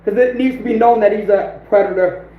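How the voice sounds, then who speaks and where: Livestream host, man, high voice, hoarse, echoey room on lo-fi audio. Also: fairly even intonation, fairly quick, slight background noise. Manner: laughing, reacting to chat. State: losing his voice. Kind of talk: casual livestream chat.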